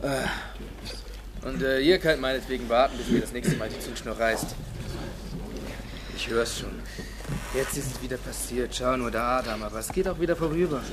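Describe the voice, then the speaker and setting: An actor playing a bitter, defiant young village man, speaking with sarcastic, halting emphasis in a radio drama. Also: medium pitch, background noise, natural voice. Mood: defiant, resigned.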